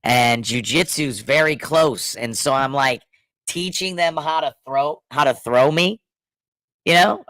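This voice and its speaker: A man's voice: on the high side, pushed and bright, dry recording, rough recording. Sick man rambling on a podcast. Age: middle-aged. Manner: rambling, restarts and trails off. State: sick, scratchy throat. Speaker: podcast co-host. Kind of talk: casual chat.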